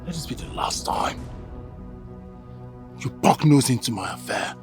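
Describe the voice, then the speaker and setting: A man's voice: medium pitch, expressive, unhurried, faint music behind. An actor playing a suspicious man who threatens and accuses a friend. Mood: accusing.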